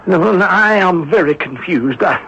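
An actor playing a flustered swindler who stammers out hesitant protests of innocence in an old-time radio drama. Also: male voice, high-pitched, lively intonation, moderate pace, a bit bright, slightly rough, full character voice. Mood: nervous, confused. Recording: dull lo-fi radio recording.

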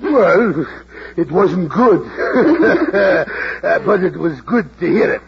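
An actor in a vintage radio drama playing a kindly, hesitant, fatherly older taxi driver with a husky voice and a coaxing manner. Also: sits high, lively intonation, lo-fi sound, very noisy, full character voice. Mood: genial. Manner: slow, hemming, gently coaxing. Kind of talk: 1940s radio drama acting.

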